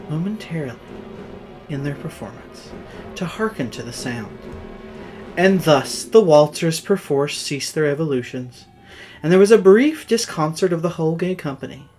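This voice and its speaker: Guy reading a spooky old story all dramatic and solemn, pitch goes up and down a lot, bit of music behind him. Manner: theatrical, solemn, with swelling and hushed phrases. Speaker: story reader. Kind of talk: dramatic horror story narration. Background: music under the voice.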